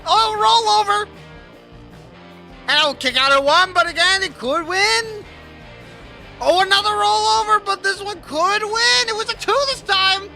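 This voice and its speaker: High-pitched guy yelling and mumbling through a wrestling game, swears a lot, game music faint behind. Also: unhurried, gravelly, pauses often. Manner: excitable, swearing, laughing, swings from mumbling to yelling. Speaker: gaming YouTuber. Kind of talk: casual wrestling game commentary.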